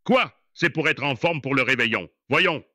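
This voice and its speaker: A man voicing a drowsy, helpful old owl in a cartoon, speaking slowly. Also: medium pitch, animated, pushed and bright, no echo, clean studio sound. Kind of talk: cartoon voice acting.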